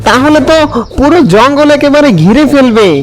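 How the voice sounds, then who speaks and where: Male actor as jungle sorcerer, cartoon, very high pitch, authoritative, deliberate, faint music. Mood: alarmed. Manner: deliberate, instructing.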